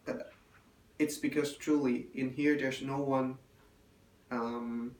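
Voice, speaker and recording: A young man, a hotel intern and native Chinese speaker, giving a halting spoken evaluation in English with many fillers. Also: medium pitch, flat delivery, darkish tone. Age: young adult. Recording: roomy, distant, faint room noise.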